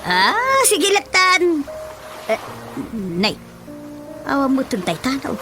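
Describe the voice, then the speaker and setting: Casting: feisty, excitable office worker, high swooping voice, comic radio drama, easily rattled. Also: female voice, slow pace, music in the background, full character voice. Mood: uneasy muttering. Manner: expressive, exclaiming. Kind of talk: radio drama comedy acting.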